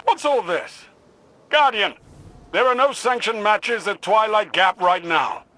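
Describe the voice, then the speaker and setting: High-pitched, forceful warlord. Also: male voice, a little slow. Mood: surprised. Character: booming, proud male warlord arena master. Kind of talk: video game voice acting.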